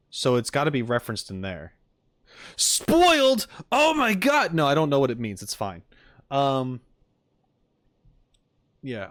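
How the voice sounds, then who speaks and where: A man doing casual live reaction commentary as a streamer, thinking aloud in an expressive way. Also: medium pitch, no echo, studio quality.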